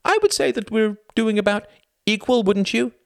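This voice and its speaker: Man voicing a scornful wife, wildly swinging pitch. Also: big pitch swings, a little slow, vocal fry, darkish tone, no room sound, clean studio sound, heavily acted. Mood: indignant. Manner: scornful, needling, accusing. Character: scornful, wounded young wife, combative and accusing. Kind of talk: acted audiobook dialogue.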